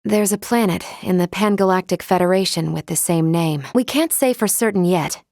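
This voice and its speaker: A woman voicing a calm, logical female android in a video game, speaking in a formal, precise and measured way. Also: lowish voice, no echo, clean studio sound, lightly acted. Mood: calm, analytical. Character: calm, logical female android crew member, polite and analytical. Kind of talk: video game character voice acting.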